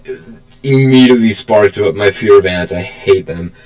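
Low-pitched, blunt young man joking around. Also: normal speed, a little mellow, soft background music, rough recording. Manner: profane, blunt. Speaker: podcast co-host. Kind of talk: casual joking group chat.

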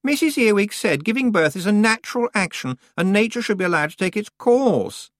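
A reader voicing a frantic, bossy teenage girl witch in an audiobook, shrill and whiny, stammering when upset. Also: big pitch swings, brisk, no echo, clean studio sound, close to an everyday voice. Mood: fretful.

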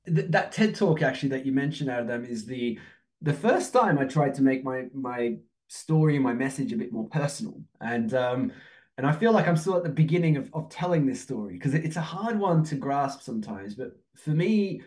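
A man's voice: mid-range voice, animated, moderate pace, darkish tone, rough recording. Reflective male guest with a mid-range voice. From born in Turkey, grew up in Australia. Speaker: podcast guest, economics expert. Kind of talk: conversational interview answers.

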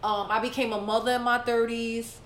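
A woman's voice: fairly high pitch, flat delivery, a little fast, a bit bright, roomy sound, a little hiss or hum. A woman in her late thirties co-hosting a podcast, talking casually and saying "like" a lot. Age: 30s. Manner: chatty, repeats herself, says "like" a lot. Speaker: podcast co-host.

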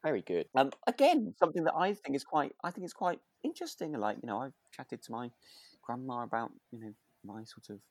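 A man co-hosting a relaxed, chatty podcast, talking in a good-humoured, hesitant way and often correcting himself. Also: mid-range voice, a little fast. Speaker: podcast co-host. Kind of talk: relaxed casual chat about a radio drama.

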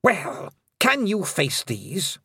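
A man's voice: sits high, wildly swooping pitch, extremely slow, sharp tone, breathy edge, no echo, studio quality, pitched above the performer's own voice, full character voice. A man voicing a cruel, scheming fairy queen who speaks in a cold, menacing way. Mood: scornful.

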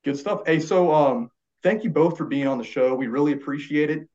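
A man co-hosting a podcast in casual chat, relaxed and rambling, with many fillers and restarts. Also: on the high side, brisk. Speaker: podcast co-host. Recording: dull lo-fi sound.

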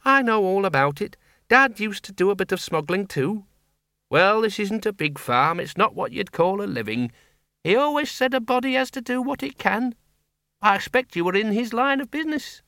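A man voicing a sharp-tongued older spinster who runs a farm, talking bluntly in country speech. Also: high-pitched, expressive, no room sound, clean studio sound, voice pushed up, exaggerated voice. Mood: wistful. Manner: brisk, blunt country talk. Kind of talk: audiobook character voice.

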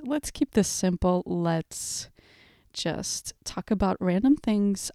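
A woman's voice: medium pitch, mellow, dry recording, clean studio sound. A woman in her twenties from Portugal, hosting a casual solo podcast, rambling and laughing at her own slips. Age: 20s. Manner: chatty, self-mocking, laughs at own slips. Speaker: podcast host. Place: home room with bookshelf.

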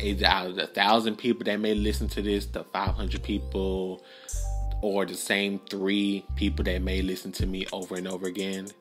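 A man's voice: lowish voice, fairly bright tone. A young man hosting his own podcast alone, talking earnestly and rambling with many restarts and fillers. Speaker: podcast host. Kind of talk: solo podcast monologue.

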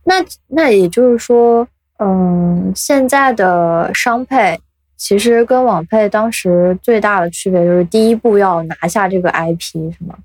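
A woman with a medium-pitched voice co-hosts a relaxed interview chat. She is inquisitive and informal as she reacts and follows up. The recording is dry, with no room sound. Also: unhurried, no room sound. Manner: curious, reacts informally and asks follow-up questions. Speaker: podcast host, interviewer.

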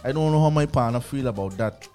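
A man's voice: mid-range voice, quick pace, warm tone. A man with a lilt, talking in a plain mid-range voice. He speaks earnestly and repeats words when he begins a thought, as radio co-hosts do in casual discussion. Faint music plays underneath. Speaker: radio show co-host.

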